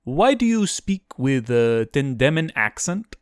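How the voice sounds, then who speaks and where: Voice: medium pitch, very animated, unhurried, smooth, no room sound, studio quality, pitched above the performer's own voice. A narrator voicing a naive, chatty adventurer who is guileless, blunt and full of questions. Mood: cheerfully blunt.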